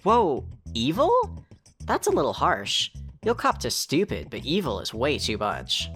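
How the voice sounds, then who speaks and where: A woman's voice: very deep voice, wildly swooping pitch, a little slow, a bit bright, soft background music, full character voice. A woman acting as the wry, easily sidetracked narrator of a fan-dubbed visual novel, with conversational asides. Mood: flustered, wry. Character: second-person narrator, wry, rambling, easily sidetracked visitor.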